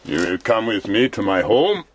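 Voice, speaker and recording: An actor playing a cruel, domineering middle-aged German officer in a radio drama, mocking and commanding. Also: male voice, fairly low pitch, big pitch swings, slight background noise. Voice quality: mocking, commanding, slow and deliberate. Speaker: villain.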